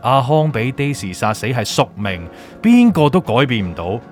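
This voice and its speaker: A man plays a gloating, manipulative official in a radio drama, in Hong Kong Cantonese. His mid-pitched voice swings widely as he mocks and stretches his words, dropping in English, over soft music. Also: sing-song, natural voice. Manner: theatrical, taunting, drawn-out emphasis, drops in English words. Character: gloating, manipulative villainous male official, mocking. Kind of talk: Cantonese audio drama acting.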